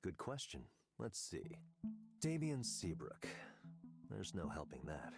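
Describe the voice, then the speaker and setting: An actor playing a calm, probing male tech executive in a voiced game, measured and quietly needling. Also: sits low, vocal fry, over music, heavily acted. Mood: cool, probing. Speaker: game character.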